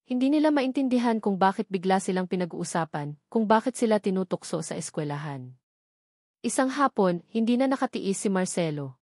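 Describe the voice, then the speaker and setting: A woman's voice: medium pitch, a little mellow, dry recording. Dry-sounding woman narrating romantic drama. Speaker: story narrator.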